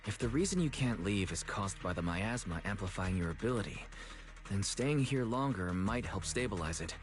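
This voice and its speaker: An actor playing a calm, helpful, modest young man in a video game, with a considerate, inquisitive manner. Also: lowish voice, normal speed, background noise, lightly acted. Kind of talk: video game story voice acting.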